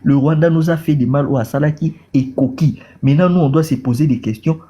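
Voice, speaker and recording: A man's voice: a little high, a little fast, dark tone, lo-fi sound. A man from Congo with a Congolese French accent, speaking passionately in a political debate and repeating words as he goes. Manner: repeats words, mixes in Lingala. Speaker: caller in a debate. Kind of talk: impassioned political talk.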